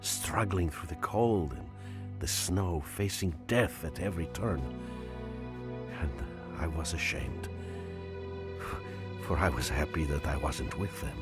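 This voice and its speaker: An actor playing a weary, reflective Soviet soldier narrating war memories in a video game, measured and somber in delivery. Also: male voice, very deep voice, loud music behind the voice.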